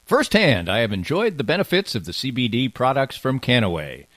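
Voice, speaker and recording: Lively male podcast host, close and dry. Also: mid-range voice, fairly quick, no echo. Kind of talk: casual chat and sponsor reads.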